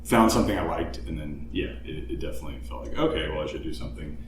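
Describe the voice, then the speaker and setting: A man chatting casually about composing music, halting and self-deprecating, laughing often, recorded far from the mic in an echoey, noisy room. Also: a bass voice. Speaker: composer on the podcast team. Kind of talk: casual conversation.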